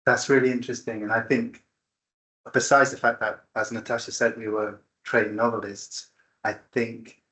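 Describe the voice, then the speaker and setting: A man talks about writing fiction on a book-event panel, measured and reflective in manner. His voice sits at an ordinary middle pitch for a man. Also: pushed and bright. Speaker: novelist.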